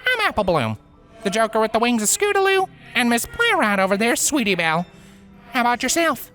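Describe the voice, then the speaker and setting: A plainspoken earth pony mare ghost, as a man puts her on with a Southern US drawl. Her voice is raised well above his own and sounds a little far off. She is folksy and steady. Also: extremely high pitch, fairly quick, faint music behind, pitched above the performer's own voice, heavily acted. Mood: easygoing.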